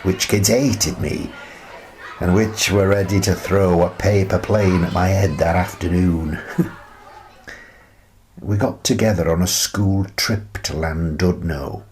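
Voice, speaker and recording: Actor as a slow, low-voiced old British grandfather. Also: steady tone, a little mellow, faint music behind. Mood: fond, nostalgic. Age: older. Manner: slow, rambling, reflective, with hesitant pauses. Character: gentle, wistful, eccentric old grandfather living in a playhouse. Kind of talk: radio play monologue.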